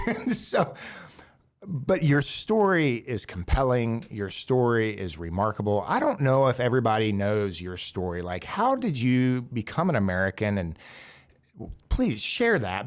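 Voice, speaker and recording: A man hosting a podcast interview, talking earnestly and poking fun at himself, with fillers and restarts. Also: on the low side. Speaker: podcast host and county commissioner.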